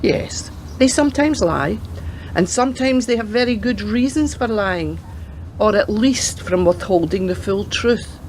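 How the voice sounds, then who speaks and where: A woman playing a wary old grandmother and retired detective in a radio play, speaking in a measured, admonishing way. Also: mid-range voice, lively intonation, darkish tone, lightly acted. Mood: guarded. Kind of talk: scripted radio play acting. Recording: community radio drama with clear background noise.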